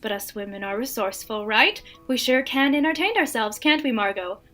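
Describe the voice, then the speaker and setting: A woman plays a genteel housewife of the 1950s in an audio drama, smoothly forward and flirtatious. Her voice is on the high side, gracious and coy, with faint music underneath. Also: a little fast, sharp tone. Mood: coy, probing. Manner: coy, gracious, leading questions.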